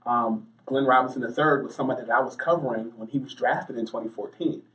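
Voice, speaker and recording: A man's voice: medium pitch, quick pace, a bit bright. An American man giving talkative, anecdotal answers as a sports journalist being interviewed. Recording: roomy, distant mic.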